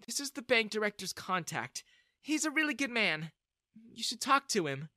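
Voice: medium pitch, sing-song, normal speed, pushed and bright, no room sound, studio quality, voice pushed up. A narrator voicing an emotional, possessive married mother in a dramatised audio story, speaking insistently. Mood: persuasive. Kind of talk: dramatised first-person web-novel audio story.

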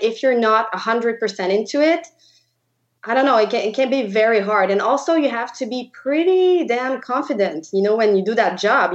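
A woman in her thirties with a Québécois French accent, answering interview questions in English with many pauses and restarts as she searches for words. Her audio is slightly dull and muffled, as if from a remote connection. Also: mid-range voice. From Quebec, Canada. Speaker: guest photographer. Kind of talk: podcast interview.

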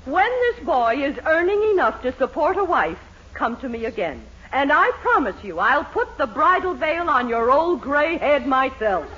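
A woman's voice: sits high, sing-song, normal speed, fairly bright tone. Stern sitcom mother, emphatic and scolding, widely swinging intonation, dull vintage radio sound with audience. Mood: scolding, firm. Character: stern, sharp-tongued, disapproving middle-aged mother of a sweetheart. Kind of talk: 1940s radio comedy acting. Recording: dull old radio recording, audience, hiss.

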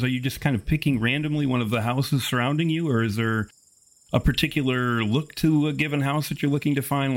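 A man narrating a tabletop horror game as game master, in a measured, wordy, descriptive way. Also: mid-range voice.

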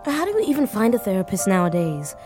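A woman playing an anxious, grief-stricken young woman in an audio drama, speaking haltingly and repeating words. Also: medium pitch, sing-song, over music, lightly acted. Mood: overwhelmed. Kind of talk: naturalistic audio drama acting.

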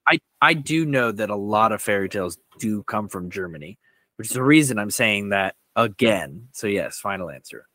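A man co-hosting a casual podcast, bantering through a quiz in a joking, animated way. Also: fairly low pitch, moderate pace, no echo. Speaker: podcast co-host.

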